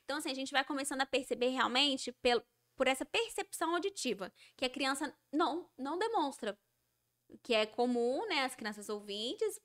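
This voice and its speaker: A woman's voice: sits high, expressive, normal speed, fairly bright tone. A woman from Minas Gerais with a Mineiro Brazilian accent, a guest educator explaining patiently with everyday examples in a casual interview. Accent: Mineiro Brazilian Portuguese.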